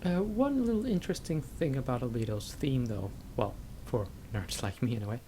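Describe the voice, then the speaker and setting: A man giving casual let's play commentary, halting and thinking aloud in fragments. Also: mid-range voice, animated, mellow, slight background noise.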